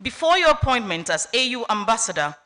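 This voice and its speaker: A woman reading an honorary degree citation at a university ceremony in a measured, deliberate way. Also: fairly high pitch, big pitch swings, pushed and bright. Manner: measured, deliberate list-by-list delivery. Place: university lecture hall.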